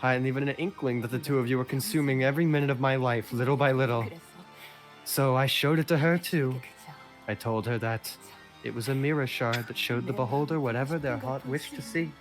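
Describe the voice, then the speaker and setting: A man's voice: mid-range voice, fairly quick, over music, close to an everyday voice. A man voicing a bitter, suffering old woman servant, telling her story solemnly in an amateur game dub. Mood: bitter, grieving.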